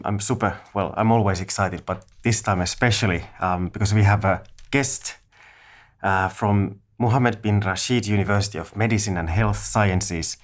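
A man hosts a podcast in a somewhat low voice. He talks casually and loosely, and his questions ramble with plenty of "um"s and "like"s. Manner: casual, rambling, filler-heavy. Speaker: podcast host. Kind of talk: podcast interview.

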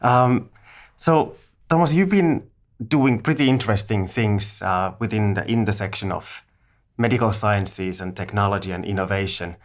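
A man hosts a podcast in a somewhat low voice. He talks casually and loosely, and his questions ramble with plenty of "um"s and "like"s. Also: normal speed. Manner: casual, rambling, filler-heavy. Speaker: podcast host. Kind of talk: podcast interview.

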